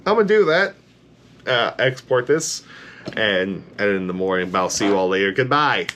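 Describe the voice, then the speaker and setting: A man with a low bass voice giving an enthusiastic, rambling reaction to a song, full of "like" fillers, tired late at night. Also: lively intonation, moderate pace, a bit bright, highs cut off, a little hiss or hum. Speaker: music reaction YouTuber. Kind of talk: casual reaction commentary.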